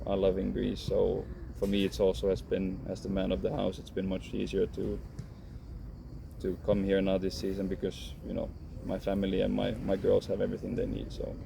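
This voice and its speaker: A man in his thirties answers interview questions, his low voice gravelly and fairly flat. He speaks calmly and frankly, often restarting as he thinks. The recording carries clear background noise. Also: brisk. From Finland. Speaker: professional footballer. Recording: noisy background.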